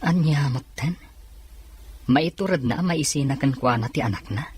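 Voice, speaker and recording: A man's voice: medium pitch, moderate pace, slight background noise, lightly acted. A man playing a hospitable husband and friend in an Ilocano audio drama. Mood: explaining, concerned. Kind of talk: Ilocano radio-style drama acting.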